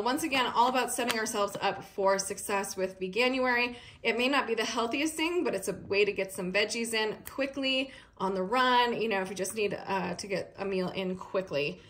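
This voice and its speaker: A woman's voice: fairly high pitch, fairly bright tone. Young woman, casual vlogger chat, chatty and upbeat with a touch of faint room noise. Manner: chatty, upbeat, with fillers and little laughs. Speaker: vlogger showing a grocery haul. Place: indoors at a kitchen table.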